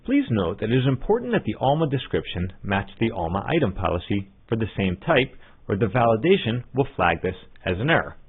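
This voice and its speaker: Guy with a low voice walking thru software steps, lively up and down pitch, sounds like a phone line. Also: a little fast, breathy edge. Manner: instructional, step-by-step. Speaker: software trainer. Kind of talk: scripted tutorial narration. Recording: muffled narrow phone-like line.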